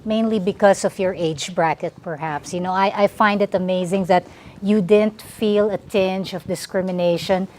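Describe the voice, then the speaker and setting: A woman's voice: mid-range voice, fairly even intonation, moderate pace, darkish tone, a little hiss or hum. A woman moderating a speaker panel and responding appreciatively.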